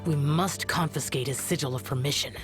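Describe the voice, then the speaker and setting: Woman voicing a low, aloof immortal young warrior. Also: fairly quick, lightly acted. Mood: grave, disdainful. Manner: formal, solemn, curt. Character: stern, aloof young male immortal demon slayer. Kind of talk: dramatic video game voice acting. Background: game music.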